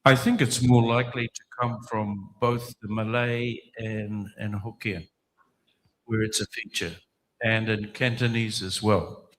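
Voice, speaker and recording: A man with a bright, forceful voice answers questions at a book launch. He explains with wry asides and everyday examples, speaking into a handheld mic. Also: lowish voice, flat delivery, a little slow, a little hiss or hum, poor audio. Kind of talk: relaxed, joking Q&A answers. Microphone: handheld microphone.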